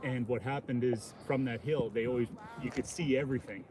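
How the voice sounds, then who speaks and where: Male walking-tour host, halting off-the-cuff explanations, mid-range pitch, recorded outdoors with clear traffic noise. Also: steady tone. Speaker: livestream host and informal walking guide. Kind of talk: casual unscripted commentary while walking. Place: outdoors on a city waterfront. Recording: noisy outdoor city audio with traffic.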